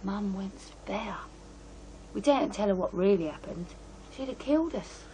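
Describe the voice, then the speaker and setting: A woman's voice: mid-range voice, expressive, moderate pace, mellow, close to an everyday voice. An actress playing an aggrieved British woman in her twenties, confiding and colloquial, in a radio drama. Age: 20s. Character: bitter, trapped young British woman of twenty-three. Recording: noisy radio play scene with ambience.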